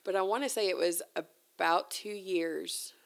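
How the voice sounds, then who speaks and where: A woman's voice: fairly low pitch, unhurried, creaky. A woman guest talking casually and candidly, telling a personal story in conversation. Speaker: interview guest.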